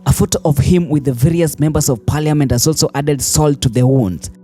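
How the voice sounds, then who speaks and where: A man's voice: fairly high pitch, brisk, dark tone. A man with a Kenyan accent reading scripted online news commentary as a voice-over. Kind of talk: scripted narration. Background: faint music.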